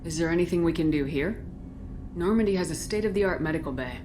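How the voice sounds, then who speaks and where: Game role: actress as businesslike female commander, firm, probing, fast delivery, slightly low register, faint background noise. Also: animated, close to an everyday voice. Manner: direct, probing. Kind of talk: video game dialogue.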